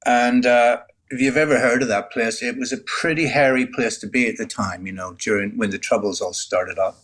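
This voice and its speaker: A man in his sixties from Northern Ireland, a guest telling his life story in a relaxed, conversational way, full of "you know". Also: medium pitch, moderate pace. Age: 60s. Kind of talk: candid storytelling.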